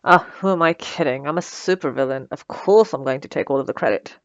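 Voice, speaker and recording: A woman's voice: deep voice, brisk, dry recording, lo-fi sound, lightly acted. A woman playing a smug, sarcastic female supervillain who taunts her captive with theatrical condescension in an ASMR roleplay. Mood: mocking, sarcastic. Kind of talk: ASMR roleplay audio drama.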